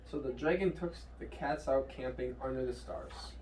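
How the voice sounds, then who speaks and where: A man's voice: mid-range voice, moderate pace, a little mellow. Man reading a story in an echoey room. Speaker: storybook reader. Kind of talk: read-aloud children's story narration. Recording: distant mic, echoey room, faint noise.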